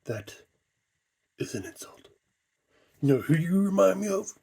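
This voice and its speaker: A man voicing a curious, teasing cyborg undead creature in an improvised toy skit, with halting restarts. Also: high voice, very animated, vocal fry, mellow, breathy edge, heavily acted. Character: cyborg undead male mascot, curious and needling.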